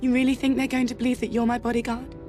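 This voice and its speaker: Actress as self-assured socialite, slightly high voice, breezy and teasing, strong score underneath. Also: female voice, little pitch movement, racing, warm tone, faint music behind, natural voice. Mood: doubtful, wry. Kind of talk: cinematic spy game acting.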